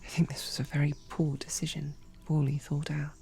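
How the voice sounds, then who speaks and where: A woman playing a snarky, devoted female knight, stammering and flustered, often dropping to soft whispers. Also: low voice, level tone, brisk, gravelly, faint music behind. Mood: tender. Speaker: audio drama performer. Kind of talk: romantic audio roleplay.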